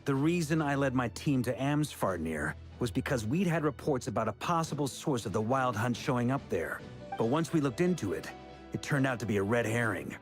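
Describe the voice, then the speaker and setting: A man playing a seasoned, easygoing middle-aged knight commander in a video game, speaking in a measured, reassuring, thoughtful way. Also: medium pitch, big pitch swings, faint music behind, lightly acted. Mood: pondering, resolute.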